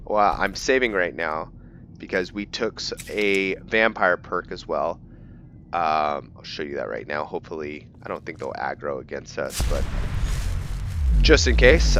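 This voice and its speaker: A man's voice: low-pitched, a bit bright. A man giving casual gameplay commentary as a host, rambling as he explains things step by step. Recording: dull lo-fi mic with faint noise.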